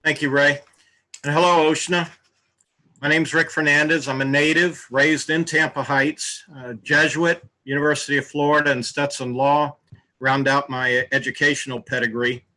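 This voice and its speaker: A man's voice: fairly high pitch, flat delivery, moderate pace, sharp tone, rough recording. A man in his sixties giving a polished, formally phrased candidate introduction at a debate.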